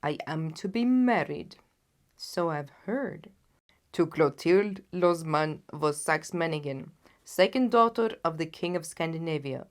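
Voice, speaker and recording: A woman's voice: low voice, lively intonation, measured pace. A woman voicing a haughty, anxious king in a storybook, speaking in a solemn and emphatic way. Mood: grave, anxious. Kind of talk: dramatised audiobook reading.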